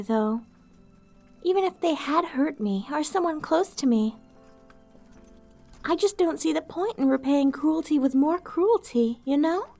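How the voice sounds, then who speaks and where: A woman voicing a gentle, weary female vampire outcast in an ASMR roleplay, soft-spoken, wistful and earnest, with formal wording. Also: sits high, natural voice.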